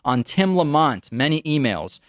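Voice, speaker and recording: A man's voice: a little high, sing-song, a little slow, no echo, clean studio sound. A man with an American accent giving political commentary as a radio talk show host.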